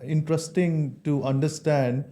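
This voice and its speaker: A man's voice: on the high side, steady tone, a little slow, dark tone. A man hosting a business podcast, opening with a polite, scripted welcome and asking questions. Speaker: podcast host. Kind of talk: scripted podcast intro and interviewing.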